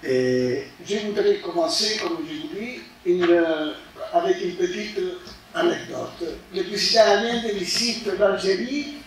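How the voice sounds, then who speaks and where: Slow older man in an echoey hall. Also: sits high, far from the mic, background noise. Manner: halting, searching for words. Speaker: guest speaker, former ambassador.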